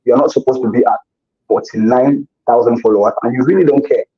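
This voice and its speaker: A man's voice: mid-range voice, fairly even intonation, quick pace, breathy edge. A man, answering interview questions in an earnest, casual way, often repeating words as he thinks. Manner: earnest, repeats himself while searching for words. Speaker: interview guest. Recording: dull lo-fi recording.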